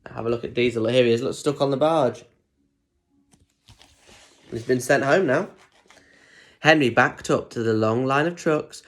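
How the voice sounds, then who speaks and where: A man reads a picture book aloud for young children. He narrates expressively, then breaks off into chatty asides, asking simple questions about what the pictures show. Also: mid-range voice. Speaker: storyteller reading aloud to children.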